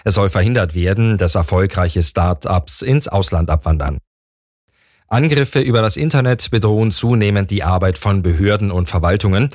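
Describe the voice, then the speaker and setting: Low husky male newsreader. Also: normal speed, darkish tone, no echo, clean studio sound. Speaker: news anchor. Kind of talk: scripted radio news reading.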